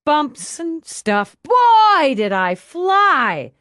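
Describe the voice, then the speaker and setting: A woman's voice: high voice, big pitch swings, extremely slow, a bit bright, dry recording, studio quality, pitched above the performer's own voice, heavily acted. A woman voicing a tough, foul-mouthed policewoman who is brash and blurting, in an audiobook drama. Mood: giddy, loopy. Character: tough, foul-mouthed policewoman turned giddy and goofy by painkillers.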